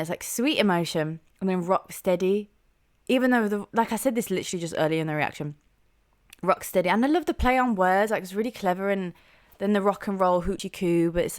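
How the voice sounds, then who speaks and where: A young woman hosting a music reaction channel, chatting casually and enthusiastically with a soft voice and lots of "like" and "um". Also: medium pitch. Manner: chatty and enthusiastic, heavy use of "like" and "um".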